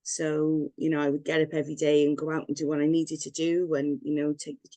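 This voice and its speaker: A woman's voice: low voice, little pitch movement, a little fast, dark tone, dry recording. A woman from Wales, a guest telling her own story in a rambling, candid way with frequent laughs. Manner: rambling, personal, laughs often. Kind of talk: candid personal story in interview.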